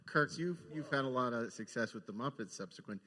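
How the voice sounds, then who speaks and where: A man moderates a panel with conversational questions. He talks rapidly at a medium pitch, and his voice echoes through a large hall. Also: expressive, bright, forceful tone. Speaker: panel host, interviewer. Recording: echoey hall with long reverb.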